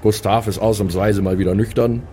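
A man's voice: on the low side, fairly even intonation, fairly quick, dark tone, a little hiss or hum. A man, improvising in character in a tabletop game in a dry, grumbling manner. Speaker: player in a tabletop role-playing game. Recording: prominent music behind the voice.

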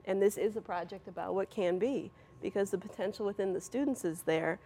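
Creaky-voiced, articulate woman. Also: medium pitch, a little fast, dark tone. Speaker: nonprofit media representative, interview guest. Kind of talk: polished explanatory interview answers.

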